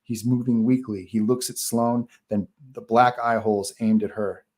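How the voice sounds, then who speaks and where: A man's voice: fairly low pitch, steady tone, normal speed, a little mellow. A man narrating the stage directions of a horror script at a live online table read. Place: online group call.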